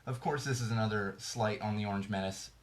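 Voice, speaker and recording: A man's voice: lowish voice, a little fast. Blunt ranting male podcast host. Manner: blunt, ranting, frequent restarts. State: slightly losing his voice. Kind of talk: ranting political commentary.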